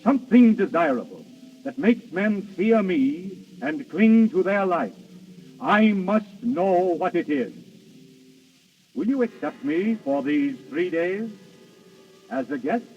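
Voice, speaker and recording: A man's voice: sits high, expressive, darkish tone. An actor playing Death as a grand, imperious male spirit, speaking formally and theatrically in an old radio drama. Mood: intense, courtly. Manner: formal, theatrical, commanding, deliberate phrasing. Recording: dull, distant old radio recording with music.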